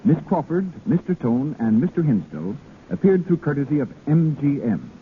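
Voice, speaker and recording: A man's voice: a little high, very animated, moderate pace, phone-quality sound, slight background noise. An American man reading scripted announcements as a 1930s radio announcer. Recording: thin vintage radio broadcast.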